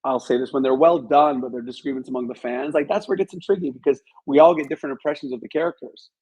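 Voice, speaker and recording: A man's voice: medium pitch, fast, a little mellow, breathy edge, rough recording. A man talking as an interview guest, giving his opinions in an analytical, emphatic conversational way.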